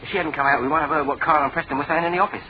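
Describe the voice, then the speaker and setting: Man acting an eager, rapid-talking young English sleuth, tinny radio. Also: on the high side, steady tone, a crowd in the background, close to an everyday voice. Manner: eager, informal. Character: eager, breezy young male detective's assistant. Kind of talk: classic British radio drama acting. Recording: tinny band-limited old radio recording.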